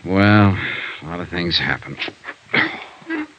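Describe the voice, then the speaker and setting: An actor playing a world-weary, wry investigator with clipped hard-boiled delivery in old radio noir. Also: male voice, low-pitched, wildly swooping pitch, a little slow, full character voice. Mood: tired, matter-of-fact. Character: world-weary hard-boiled male insurance investigator narrating his case. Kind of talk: 1950s radio noir narration and acting. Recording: dull, noisy old radio recording.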